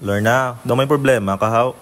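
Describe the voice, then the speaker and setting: A man's voice: mid-range voice, moderate pace, lightly acted. A man playing a reassuring older male co-worker in an amateur radio drama, with a Filipino accent. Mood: concerned. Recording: noisy amateur recording with clicks.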